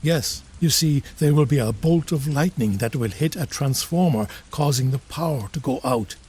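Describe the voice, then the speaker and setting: A man's voice: mid-range voice, moderate pace, a little mellow, husky, lightly acted. A man playing an all-knowing, slightly bumbling narrator of a comedic audio drama. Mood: wry. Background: music and rain.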